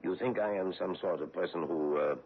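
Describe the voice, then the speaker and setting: An actor playing a courteous, probing foreign investigator on the trail of a war criminal, in a 1970s radio drama. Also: male voice, low voice, brisk, slightly rough. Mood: suave, probing. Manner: courteous, probing, gently menacing. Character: suave middle-aged foreign male war-crimes investigator. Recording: tinny old radio broadcast.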